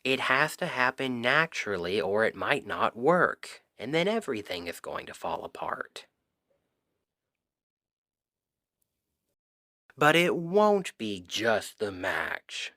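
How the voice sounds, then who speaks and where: Man playing an expressive exasperated Cupid best friend. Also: medium pitch, a little slow, hardly any pauses, dry recording, clean studio sound, exaggerated voice. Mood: agitated, insistent. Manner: expressive, conversational, emphatic. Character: exasperated, secretly smitten young male Cupid best friend. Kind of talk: ASMR roleplay audio drama, one-sided conversation.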